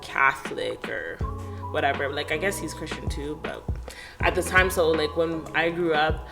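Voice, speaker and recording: A woman's voice: low voice, moderate pace, vocal fry, music in the background. A woman in her twenties, a podcast guest talking casually and animatedly, with frequent "like" and laughter. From Canada. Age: 20s. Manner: chatty and animated, lots of "like" and laughs. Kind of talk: casual interview chat.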